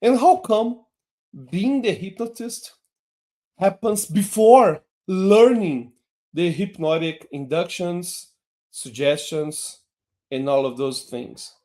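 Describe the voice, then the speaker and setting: Guy teaching a hypnosis lesson in english, takes it real slow, voice swoops up and down. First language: Portuguese. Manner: slow, deliberate delivery with lively pitch swings. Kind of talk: online lesson.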